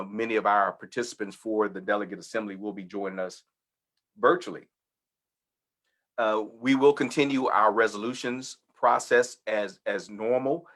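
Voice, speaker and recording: A middle-aged man with a Texas drawl, his voice sitting a little low, talks through updates on a church webinar. He pauses often with "uh" and restarts his sentences as he goes, heard over a video-call mic. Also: a bit bright. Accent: Texan. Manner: halting, frequent "uh" fillers and restarts. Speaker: church executive hosting a webinar. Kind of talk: informational webinar presentation.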